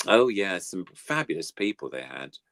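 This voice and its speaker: A man's voice: sits low, big pitch swings, normal speed, fairly bright tone, dry recording. An older man chatting casually as a guest, reminiscing in an effusive, rambling way. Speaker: guest puppeteer.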